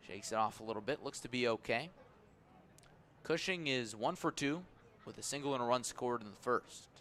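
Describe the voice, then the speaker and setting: A man calling baseball play-by-play on the radio in measured, descriptive, clipped phrases. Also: medium pitch, a bit bright. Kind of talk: radio sports commentary.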